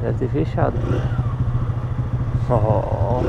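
A man giving relaxed, slangy commentary while riding a motorcycle through city traffic. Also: mid-range voice, extremely slow, gravelly. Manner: slangy, relaxed, chatty street talk. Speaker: motovlogger. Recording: dull helmet mic with loud engine and wind.

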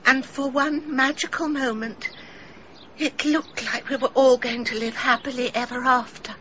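A woman's voice: high-pitched, steady tone, unhurried, dull audio, background noise. An actress playing a genteel middle-aged English village woman in a radio drama, confessing in a wistful, melodramatic, theatrical way. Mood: sheepish, fond. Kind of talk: British radio drama acting.

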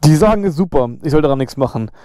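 A man's voice: on the high side, lively intonation, very fast, no echo. A young man vlogging from his motorcycle in the rain, chatting casually with lots of slang, swearing and laughter. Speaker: motovlogger. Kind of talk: casual vlog chat while riding. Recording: loud road and engine noise.

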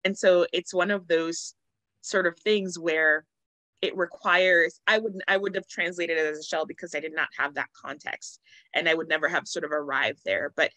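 Woman translator, bright crisp voice, earnest anecdotes with ums, dry video-call sound. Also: fairly low pitch, normal speed, no echo, rough recording. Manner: earnest, animated storytelling with ums. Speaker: literary translator and panelist. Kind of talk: online panel discussion. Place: indoor room. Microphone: computer video call.

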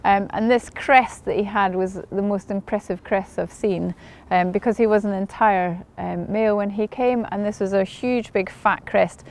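A woman vet in her forties explaining on camera in a stable yard, measured and matter-of-fact with frequent ums, on a lapel mic. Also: mid-range voice, fairly even intonation, darkish tone. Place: outdoor stable yard by a brick wall.

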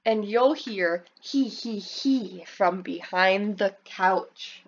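A preschool teacher reading a picture book aloud to children, stopping often to ask questions about the pictures and pitching her voice up for the characters' lines. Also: extremely slow, highs cut off.

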